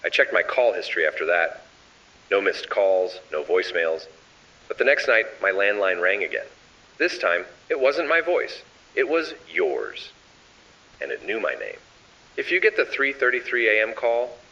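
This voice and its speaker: A man narrating scripted analog horror, addressing the listener in an ominous, deliberate and measured manner. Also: fairly low pitch, sharp tone. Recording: dull lo-fi, distant, hissy.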